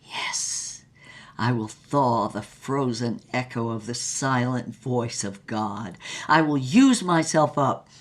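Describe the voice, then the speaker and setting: An actress playing a grandiose female film star reminiscing in a languid, theatrical monologue for an audio drama. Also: extremely low pitch. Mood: fervent. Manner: grandiose, languid, theatrical delivery with drawn-out words.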